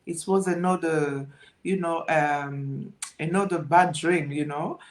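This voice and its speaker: A woman's voice: deep voice, fairly even intonation. Reflective woman on a call. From Democratic Republic of Congo. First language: French. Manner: reflective, fills pauses with "you know". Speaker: guest entrepreneur. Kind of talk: casual interview storytelling.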